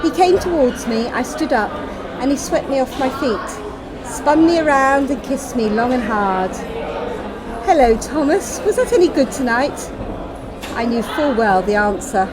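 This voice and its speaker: A woman's voice: fairly high pitch, normal speed. A woman narrating her own short story in the first person, with acted dialogue. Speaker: author reading her story. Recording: loud crowd noise in public room.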